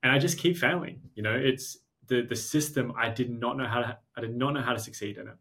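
A man's voice: mid-range voice, a little fast, sharp tone. A young adult man with an Australian accent, a podcast guest telling his story in a casual, self-deprecating way with frequent restarts. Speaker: podcast guest, startup founder.

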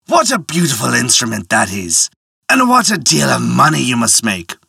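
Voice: mid-range voice, wildly swooping pitch, moderate pace, gravelly, bright, forceful tone, breathy edge, no echo, clean studio sound, far from the mic, voice pushed down, exaggerated voice. A narrator voicing a gullible, wistful man in a storybook, exclaiming eagerly. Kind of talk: storybook character voice.

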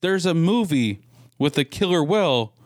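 A man's voice: fairly high pitch, animated, normal speed, dry recording, clean studio sound. An American man co-hosting a podcast in casual, chatty banter. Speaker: podcast co-host.

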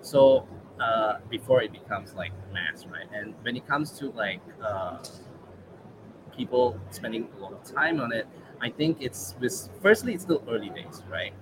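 Relaxed Malaysian man, thinking aloud. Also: mid-range voice, a little slow, noisy background. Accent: Malaysian English. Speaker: guest, regional manager. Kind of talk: casual interview.

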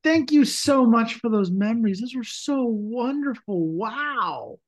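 Female podcast interviewer, clearly high-pitched, conversational, effusive and encouraging toward the guest. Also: expressive, unhurried. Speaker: podcast host.

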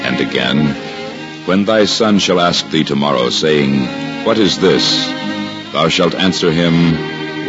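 A very deep-voiced, devout husband looks back on sacred days in a solemn, measured, formal manner. The sound is a dull 1950s radio drama recording with prominent music behind him. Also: male voice. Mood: reverent.

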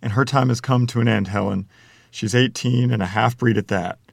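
A man playing a gruff, defensive middle-aged Midwestern father and gambler, with folksy, plainspoken speech in a table-read drama. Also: mid-range voice, fairly even intonation, normal speed, no room sound, studio quality. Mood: stubborn, defensive. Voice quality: gravelly, creaky. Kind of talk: table-read screenplay acting.

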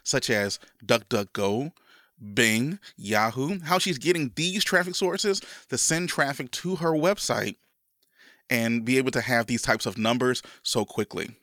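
Stuffy-nosed guy hosting his podcast, pumped up, kinda rambly, voice bright and punchy. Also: medium pitch, measured pace, dry recording, clean studio sound. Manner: enthusiastic, rambling with restarts. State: congested from a cold. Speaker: podcast host. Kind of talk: casual podcast intro and interview.

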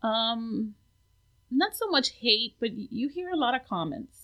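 A woman's voice: a little high, lively intonation, unhurried, fairly bright tone. A woman in her forties, born in the United States, talking reflectively as an interview guest with many ums. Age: 40s. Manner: reflective, with frequent fillers. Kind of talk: casual interview chat.

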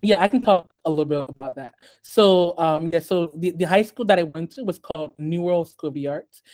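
A man born in Haiti and raised in the US, talking as a guest on a podcast interview in a measured way, with many ums and restarts. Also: fairly high pitch, a little mellow. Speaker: guest artist.

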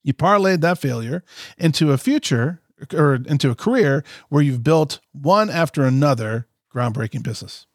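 A man's voice: a little high, lively intonation, moderate pace, no room sound, studio quality. A man in his fifties co-hosting a podcast, jokey and chatty as he reads out a long guest introduction. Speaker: co-host. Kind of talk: podcast interview with an ad read.

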